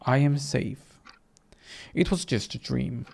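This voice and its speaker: A narrator reading a first-person horror story dramatically, in a measured, suspenseful way. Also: medium pitch, vocal fry, a little mellow, husky. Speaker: game narrator and reader.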